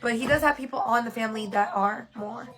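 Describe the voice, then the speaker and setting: A woman's voice: a little high, fairly quick, a bit bright. A woman from New York hosting her own livestream, chatting casually and rambling a little with fillers. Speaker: livestream host. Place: home room with yellow lighting.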